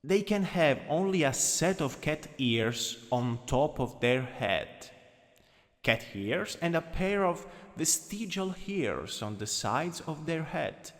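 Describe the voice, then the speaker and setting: A man with an Italian accent voicing a teasing, lowkey dominant anatomy lecturer in an ASMR roleplay. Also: big pitch swings, slow, heavily acted. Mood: instructive, playful. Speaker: ASMR voice actor. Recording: long reverberant hall echo.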